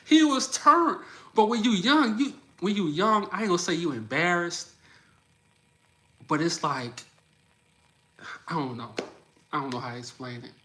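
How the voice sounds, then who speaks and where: A man in his mid-thirties hosts a livestream, talking in a loose, energetic, chatty way. His voice sits a little higher than most men's. He keeps calling his listeners "bro.". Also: unhurried, long pauses. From Louisiana. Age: 30s. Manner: energetic, loose and chatty, often says "bro", laughs between thoughts. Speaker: livestream host. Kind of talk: casual livestream chat, reacting to comments.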